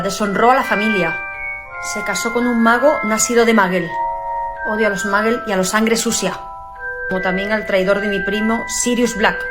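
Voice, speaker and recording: A woman with an Andalusian accent from Seville playing a proud, fanatical pure-blood dark witch, declaring her hatreds in the first person. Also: mid-range voice, natural voice. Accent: Andalusian Spanish, Seville. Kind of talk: in-character costume monologue. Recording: music playing behind the voice.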